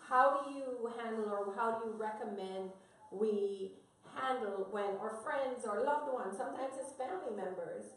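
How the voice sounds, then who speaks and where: A woman's voice: a little high, moderate pace, recorded from a distance. A woman hosting a live panel, speaking casually with fillers and asking the guests questions. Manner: casual, uses fillers, affirms often. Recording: echoey room, off-mic.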